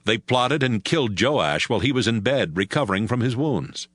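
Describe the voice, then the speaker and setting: A man narrating an audio Bible drama from a script in a measured storytelling manner. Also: medium pitch, animated, a bit bright, dry recording, studio quality.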